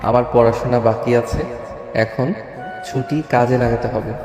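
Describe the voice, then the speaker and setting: Casting: stubborn young husband, played by a man, monotone, medium pitch, terse, in an echoey space over music. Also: moderate pace, close to an everyday voice. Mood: calm, firm. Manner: flat, firm, terse. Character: curt, stubborn young husband, cool and protective. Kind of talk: audio story drama. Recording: echoey reverberant hall, music underneath.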